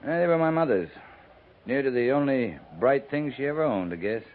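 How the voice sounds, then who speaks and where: An actor playing a gruff, absent-minded frontier cavalry captain in a muttering 1950s radio western style. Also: male voice, medium pitch, very animated, brisk, close to an everyday voice. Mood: quietly wistful. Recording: noisy, tinny 1950s radio recording.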